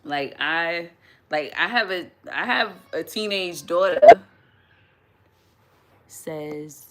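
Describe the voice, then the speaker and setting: A woman, hosting a casual live stream from home, chatty and quick to laugh, often restarting her sentences as she answers viewer comments. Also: fairly low pitch, sharp tone. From New York City, USA. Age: 30s. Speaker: livestream host.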